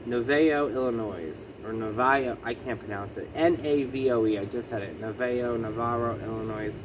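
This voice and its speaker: A man's voice: on the low side, noisy background. A man with a lisp talking through a casual explanatory vlog, hesitant and full of ums and self-corrections. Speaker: vlogger.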